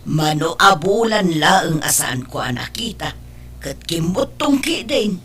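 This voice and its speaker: A woman's voice: on the low side, animated, measured pace, fairly bright tone, slight background noise. A woman playing a concerned, meddling middle-aged aunt in an Ilocano audio drama, fretful and chiding, with an Ilocano accent. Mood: grave, confiding.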